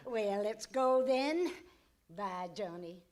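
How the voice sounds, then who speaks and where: A woman's voice: medium pitch, animated, slow, darkish tone. An actress playing a cheerful, doting older mother, effusive and theatrical, in an old-time radio western comedy. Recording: slightly noisy live stage recording.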